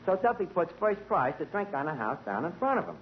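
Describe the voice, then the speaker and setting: A man tells a tall tale in a high, bouncy voice, tripping over fancy words like a street-smart tavern manager. It is an old 1940s radio comedy broadcast, dull and lo-fi with faint hiss. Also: big pitch swings, fairly quick. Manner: tough-guy storytelling with malapropisms. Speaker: tavern manager character, lead comic.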